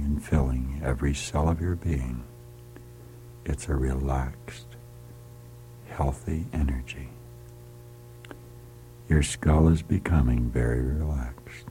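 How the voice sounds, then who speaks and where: Very deep, slow, hushed male guide. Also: low-quality recording. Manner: soft, hushed, often sliding into a whisper; slow, soothing, repetitive commands. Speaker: relaxation guide. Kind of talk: guided hypnotic relaxation. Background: music.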